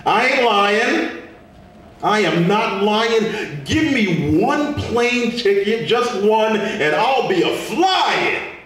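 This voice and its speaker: In a very echoey room, a man performs a weary, sassy Statue of Liberty as live spoken word. The folksy figure preaches in rhyme, with slow, drawn-out words and widely swooping pitch. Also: big pitch swings, crowd noise, heavily acted. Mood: sassy, pleading. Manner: dramatic, sing-song rhymed delivery with drawn-out words. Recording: echoey room, distant mic, faint noise.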